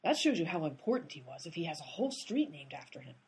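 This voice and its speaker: An actress in a radio comedy playing an exasperated female amateur sleuth who talks in a brisk, bossy way. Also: deep voice, sing-song, a little mellow, husky, exaggerated voice, low-quality recording. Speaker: lead character, amateur sleuth. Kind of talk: comic radio play acting.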